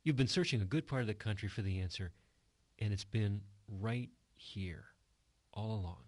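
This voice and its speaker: An actor playing a gentle, encouraging bartender in a radio drama, speaking earnestly. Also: male voice, fairly low pitch, moderate pace, dry recording, natural voice. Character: kindly, encouraging bartender and good listener.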